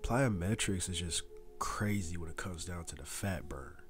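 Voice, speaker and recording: A man's voice: low voice, expressive, moderate pace, soft background music. A young man giving a casual, slangy motivational voice-over about training, talking straight to his viewers like a coach. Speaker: fitness vlogger.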